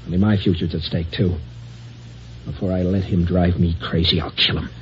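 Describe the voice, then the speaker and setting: A man's voice: sits low, level tone, moderate pace, lightly acted. An actor playing a nervous, desperate man of middle age who stammers and breaks into panic, in 1950s radio style. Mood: bitter, desperate. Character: frightened, desperate middle-aged office man. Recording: dull old radio broadcast with hiss.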